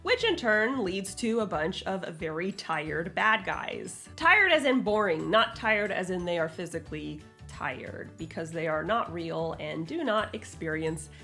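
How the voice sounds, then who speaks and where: A woman's voice: lowish voice, expressive, unhurried, few pauses, sharp tone, faint music behind. A woman hosting a scripted writing-advice vlog, speaking in an emphatic, theatrical, sassy manner with casual swearing. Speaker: host, writing advice YouTuber and author. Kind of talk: upbeat scripted vlog tutorial to camera.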